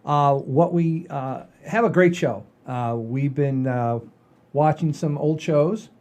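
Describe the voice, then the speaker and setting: A man's voice: a little high, takes it slowly, a little mellow. A man in his sixties hosting a casual comedy chat show in a folksy, rambling, enthusiastic way. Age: 60s. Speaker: podcast host.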